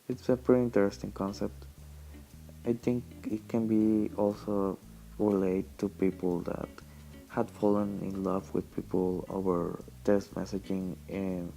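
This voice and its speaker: Young adult male, gravelly and dark-toned, meandering casual audio-log delivery, faint guitar underneath. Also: lowish voice, poor audio. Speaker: vlogger. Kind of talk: casual rambling audio diary. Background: quiet plucked guitar music.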